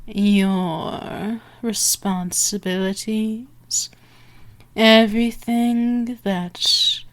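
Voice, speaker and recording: Woman performing a female vampire mistress, very slow delivery, seductive, possessive, condescending, menacing, murmurs and whispers, ASMR roleplay. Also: on the high side. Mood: teasing, coaxing.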